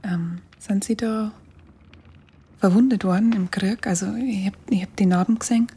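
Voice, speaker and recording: A woman's voice: mid-range voice, fairly even intonation, moderate pace, dark tone, husky. An actress playing a curious, chatty young woman photographer in a radio play, with a Bavarian accent and hesitant, colloquial speech. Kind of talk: naturalistic radio play dialogue.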